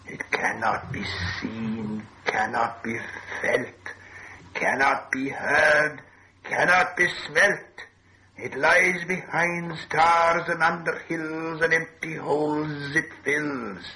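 A man voicing a sly, wretched cave-dwelling creature in a storybook, hissing and whispery, with drawn-out words and childlike grammar. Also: fairly high pitch, steady tone, sharp tone, voice pushed up, heavily acted, poor audio. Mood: menacing, slow.

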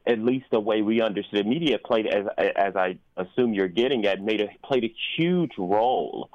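An American man speaking as a guest in a conversational radio interview, reflective and often halting as he searches for his words. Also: on the low side, dry recording, muffled recording. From United States. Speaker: opera singer and interview guest.

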